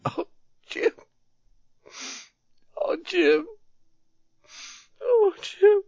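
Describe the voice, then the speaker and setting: A man's voice: very high-pitched, extremely slow, gravelly, pitched above the performer's own voice, full character voice. A man voicing an anguished young soldier in a storybook reading, crying out in a strained, frantic and tearful voice. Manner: excited, repeating, pleading. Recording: roomy, dull recording.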